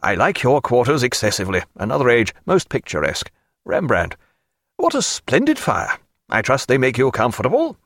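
A man voicing an effusive gentleman gushing sympathy and compliments, in a storybook reading. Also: medium pitch, wildly swooping pitch, moderate pace, no echo, studio quality.

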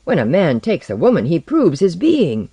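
Reader doing an earnest, searching male innocent, higher-pitched. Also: huge pitch swings, moderate pace, vocal fry, warm tone, breathy edge, no echo, full character voice. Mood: insistent, puzzled. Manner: earnest, insistent questioning. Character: anxious, proud, searching male blank character seeking identity.

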